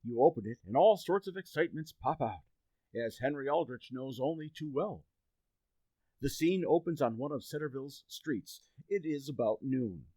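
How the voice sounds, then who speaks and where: A man's voice: mid-range voice, expressive, moderate pace, no room sound, poor audio. A man reading scripted introductions as an old-time radio show announcer. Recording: loud background noise.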